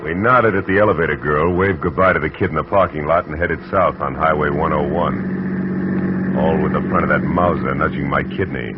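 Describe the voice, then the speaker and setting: A man's voice: extremely low pitch, moderate pace. A man playing a hard-boiled private detective who narrates in a terse, wry way in an old radio drama. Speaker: narrator and lead. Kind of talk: 1940s radio acting. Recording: muffled old radio recording with loud hiss.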